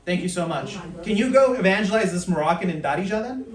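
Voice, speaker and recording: High, lively preacher, echoey and off-mic. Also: male voice, a little fast, distant mic, a crowd in the background. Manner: humorous, anecdotal. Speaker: guest preacher. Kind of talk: sermon, interpreted. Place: church hall.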